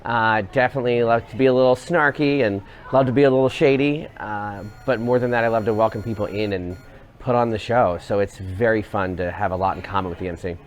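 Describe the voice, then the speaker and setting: A man's voice: fairly low pitch, fairly quick. An American man, a musical theatre actor, talking in a cast interview.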